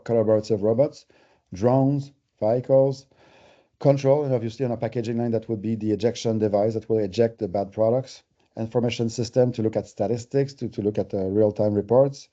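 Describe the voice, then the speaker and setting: French Canadian man, very mellow voice. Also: on the low side, fairly quick, lo-fi sound. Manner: conversational, explanatory, uses everyday examples. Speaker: company presenter. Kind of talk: webinar presentation.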